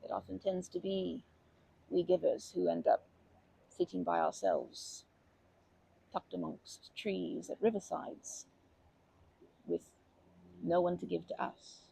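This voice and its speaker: A woman voicing a kindly, chatty old grandma in an ASMR comfort roleplay, rambling gently with halting phrases and doting endearments. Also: on the low side, lively intonation, full character voice, low-quality recording. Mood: gentle, reflective. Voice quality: husky, creaky voice.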